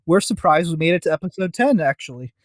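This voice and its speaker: Male podcast co-host, slightly high voice, rambling casual chat, self-deprecating, very dry close recording. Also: animated, quick pace, no room sound.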